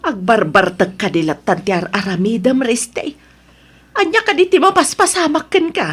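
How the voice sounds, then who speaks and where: A woman's voice: a little high, lively intonation, normal speed, faint music behind, lightly acted. A woman playing a worried mother of grown children, questioning them emotionally in a radio melodrama. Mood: anxious. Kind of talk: Ilocano family radio melodrama acting.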